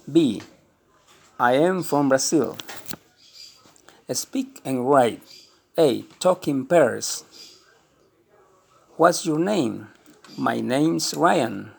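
A man reading an English textbook aloud slowly and deliberately, speaking every heading and example. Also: a little high, creaky, breathy edge. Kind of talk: slow textbook read-aloud.

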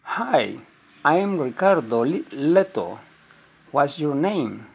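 A man's voice: on the high side, big pitch swings, slow pace, vocal fry, husky, full character voice. A man reading the part of a teenage Italian boy in a textbook dialogue, in a plain reading voice. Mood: friendly.

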